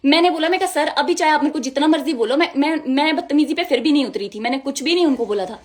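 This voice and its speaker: Young woman. High pitch, rapid delivery, indignant and emphatic first-person account. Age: young adult. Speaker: music video model. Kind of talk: personal account told to viewers.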